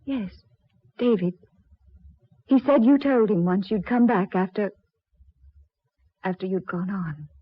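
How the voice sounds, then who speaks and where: An actress playing a fearful young widow, pleading breathlessly in melodramatic 1940s radio style. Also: female voice, medium pitch, slow, pauses often. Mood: tender amazement. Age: young adult. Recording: muffled 1940s radio recording.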